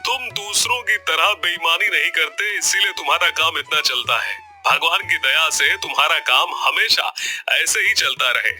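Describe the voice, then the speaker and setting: A plain-spoken, friendly village customer in a cartoon tale. His voice is raised in pitch and very bright, with expressive, swinging intonation and music behind it. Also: normal speed. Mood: admiring. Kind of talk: Hindi cartoon story voice acting.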